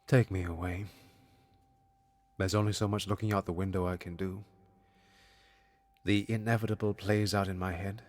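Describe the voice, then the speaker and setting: An actor playing a withdrawn, brooding pianist in an audio drama, with a husky, creaky voice and a terse, guarded manner. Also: male voice, low voice, flat delivery, moderate pace, long pauses, slight background noise, lightly acted. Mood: brooding, despairing. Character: withdrawn, brooding male pianist in a strained romance. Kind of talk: naturalistic audio drama acting with inner monologue.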